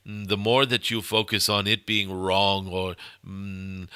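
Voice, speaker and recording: Man channeling a bright, low, halting dragon sage. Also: flat delivery, clear, resonant tone, no echo, studio quality. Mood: gently admonishing. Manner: solemn, halting, punctuated by humming mm sounds. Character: ancient benevolent cosmic dragon, channeled spirit teacher. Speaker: channeler giving spiritual messages. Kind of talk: channeled spiritual discourse.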